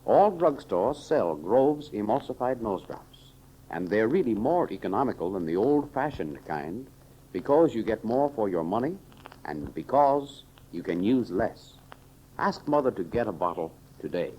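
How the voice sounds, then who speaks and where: A man's voice: lowish voice, sing-song, measured pace, dark tone, a little hiss or hum, poor audio. A man announcing and narrating in scripted 1930s radio serial style, with a dramatic, emphatic delivery, on an old recording.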